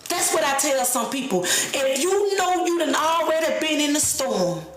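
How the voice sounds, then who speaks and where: A woman's voice: high voice, lively intonation, moderate pace, echoey room, noisy background. A woman in her late thirties giving a blunt, profane, streetwise talk straight to the camera, preaching about people who play games. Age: 30s. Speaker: vlogger. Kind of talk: unscripted personal rant.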